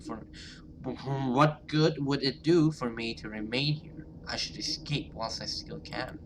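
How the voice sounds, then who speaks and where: A man's voice: mid-range voice, steady tone, measured pace, a little hiss or hum, natural voice, poor audio. A man voicing an anxious, hesitant but loyal survivor in a horror visual novel. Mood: regretful.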